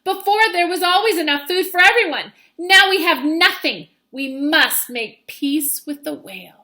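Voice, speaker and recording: A woman's voice: extremely high pitch, animated, unhurried, sharp tone, pitched above the performer's own voice, exaggerated voice. A woman voicing an earnest young male fish who makes peace in a storybook, pleading and emphatic. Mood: exasperated, resolute.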